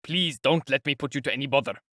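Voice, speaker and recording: A man's voice: mid-range voice, a little fast, a bit bright, no room sound, clean studio sound, lightly acted. Casting: male narrator as wily, nervy male investigator, over-friendly and fussy, clucking delivery for a classic novel. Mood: fussing, effusive. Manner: clucking, fussing, ingratiating. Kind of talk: audiobook character acting.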